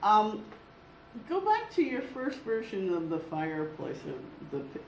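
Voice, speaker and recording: A teacher talks through a critique of a student's work, thinking aloud and halting along the way. The voice sits at a middle pitch. It echoes around the room, with faint noise behind it. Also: huge pitch swings. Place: classroom.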